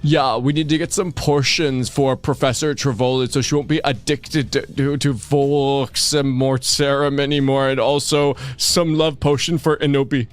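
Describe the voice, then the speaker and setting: A man co-hosting a livestream, giving a halting mock-dramatic reading of fan fiction and hamming up the character lines. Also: mid-range voice, steady tone, faint music behind. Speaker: livestream co-host.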